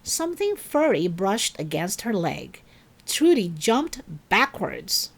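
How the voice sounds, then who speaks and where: A woman reading a children's story aloud in an expressive, dramatic and deliberate narrating style. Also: medium pitch, slight background noise. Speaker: storybook reader.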